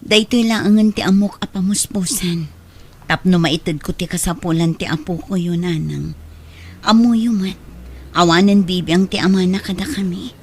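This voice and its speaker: A woman's voice: on the low side, normal speed, a little hiss or hum, close to an everyday voice. A woman playing an earnest, self-sacrificing mother in a radio drama, caring and admonishing, with a Filipino accent. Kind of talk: Ilocano radio drama acting.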